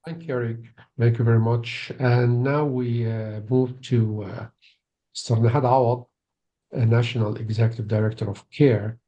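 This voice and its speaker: A man's voice: medium pitch, fairly even intonation, moderate pace, mellow. A man moderating a webinar, making polite formal introductions in a courteous, hesitant way. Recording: dull, muffled online call audio.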